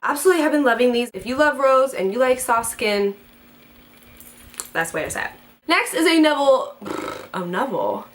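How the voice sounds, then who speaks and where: A woman's voice: sits high, lively intonation, normal speed. A young woman in her twenties chatting casually as a beauty vlogger, gushing and bubbly, a little congested from a head cold. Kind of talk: casual chat to camera.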